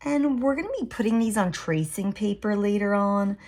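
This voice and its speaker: Raspy woman teaching a drawing demo. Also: mid-range voice, normal speed. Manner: relaxed, thinking aloud while drawing. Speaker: art teacher.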